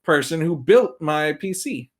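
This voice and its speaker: A man with a somewhat high-pitched voice talks casually as a podcast guest. He is animated and jokey, wandering through asides and acting out what imagined people would say. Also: unhurried. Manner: animated, acts out imagined quotes. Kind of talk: casual chat.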